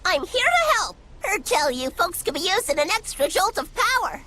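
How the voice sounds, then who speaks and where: A woman voicing a small, perky, excitable folksy spirit creature in a video game, with rustic slang and lots of exclamation. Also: very high-pitched, normal speed, full character voice. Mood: eager, folksy. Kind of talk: English video game dub acting.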